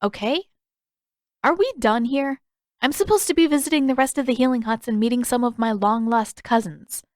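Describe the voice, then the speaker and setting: A woman voicing an angry, defiant teenage girl in a fantasy audiobook, sharp and challenging. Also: on the high side, moderate pace, no echo, studio quality, pitched above the performer's own voice, close to an everyday voice. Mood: indignant.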